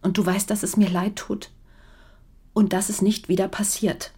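An actress playing a weary, defiant wife, frank and reproachful, in a German radio crime drama. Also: female voice, mid-range voice, level tone, moderate pace, warm tone, breathy edge. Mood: confessing, subdued.

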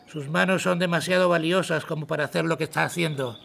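A man's voice: a little high, fairly even intonation, fast, vocal fry, a bit bright, a little hiss or hum. A man playing a courteous, businesslike workshop owner, speaking formally in a radio drama. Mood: formal, assured. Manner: formal, polite.

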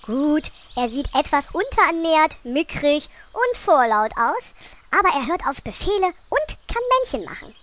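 A woman's voice: high voice, very animated, normal speed, a bit bright. A woman playing a bossy, scheming little gnome queen in a comic audio play, sassy and exasperated.

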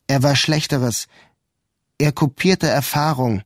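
A man narrating a literary radio drama in a measured, sober and deliberate way. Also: mid-range voice. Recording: music clearly behind the voice.

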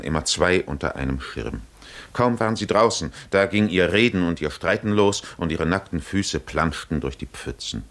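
An actor playing a grave storyteller of an ancient epic, narrating deliberately in literary 1950s German radio drama style. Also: male voice, low voice.

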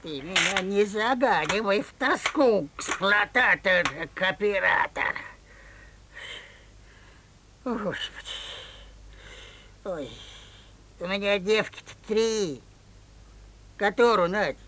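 A man's voice: high voice, slow, long pauses, sharp tone, a little hiss or hum, exaggerated voice. A man playing a whiny, blustering tsar in a comic fairy-tale audio play, theatrical and folksy, swinging between pleading and scolding. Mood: plaintive. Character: blustering, whiny old-fashioned Russian tsar, comic cartoon ruler.

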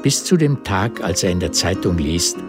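A man's voice: deep voice, animated, moderate pace, over music. A man reading literary narration as the narrator of a German radio drama.

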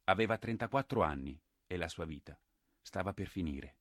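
A man's voice: deep voice, expressive, normal speed, dry recording, studio quality. A man playing the narrator of a war drama, telling the story in solemn scripted narration. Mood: grave, urgent.

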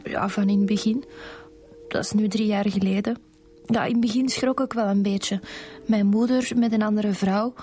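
Woman as young student, Flemish accent, warm dark timbre, subdued, weary, radio drama, faint music behind. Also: medium pitch, steady tone, moderate pace, natural voice. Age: young adult. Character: young female student, weary, protective daughter of a suspect.

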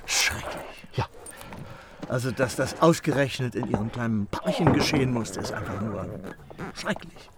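A man playing a genteel, credulous councillor in a German radio play, speaking in a halting, muttering, polite manner. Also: mid-range voice, lively intonation, very noisy, close to an everyday voice. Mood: appalled, credulous. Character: genteel, credulous male councillor, shocked and prejudiced.

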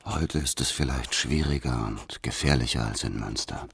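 An actor playing a grave, secretive spy reading out his diary in a radio drama, measured and ominous. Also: very deep voice, fairly bright tone, husky, dry recording, full character voice. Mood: brooding, ominous. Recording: music under the voice.

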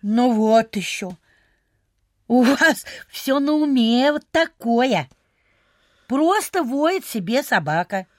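A woman's voice: fairly high pitch, animated, slow, no room sound, studio quality. An actress playing a smug, confiding provincial lady who peddles folk cures in a radio play, in knowing, old-fashioned folksy speech. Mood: dismissive. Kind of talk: Soviet radio theatre acting.

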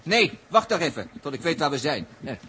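A man's voice: on the high side, sing-song, a little fast, pushed and bright. A man acting a talkative, wry and impatient space traveller who needles his companion in a 1960s radio play. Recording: vintage radio recording with faint noise.

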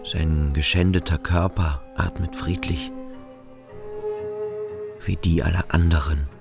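A male actor playing a brooding, introspective schoolboy who questions himself slowly and deliberately in a literary radio drama. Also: low voice, steady tone, vocal fry, over music, full character voice. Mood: quietly wondering. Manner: slow, deliberate, self-questioning. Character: brooding, introspective schoolboy pondering his own strangeness.

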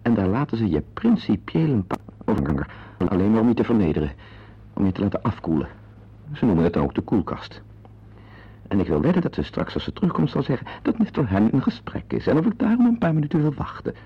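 An actor playing a self-important, opinionated husband in a radio drama, confiding in listeners in a wordy way and hesitant with strangers. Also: male voice, fairly low pitch, big pitch swings, quick pace, breathy edge, full character voice. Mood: irritated. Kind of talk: radio drama acting with narrated asides. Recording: dull, lo-fi old radio play recording.

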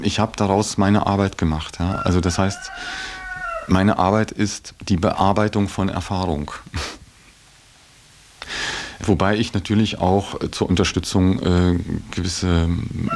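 Very deep, gravelly, thoughtful man. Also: fairly even intonation, noisy background. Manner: halting, thoughtful, often ending phrases with a tag word. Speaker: interviewee in a radio feature. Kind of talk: reflective personal account in conversation.

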